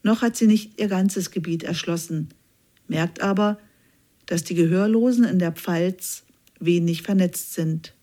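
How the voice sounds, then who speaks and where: A woman reading a scripted news feature aloud in an even, measured way. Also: on the low side. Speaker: news reader.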